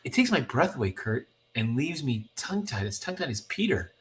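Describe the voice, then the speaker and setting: A man voicing an excited, earnest teenage girl superhero from a comic book, full of eager wonder. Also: medium pitch, fairly quick. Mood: awed, earnest.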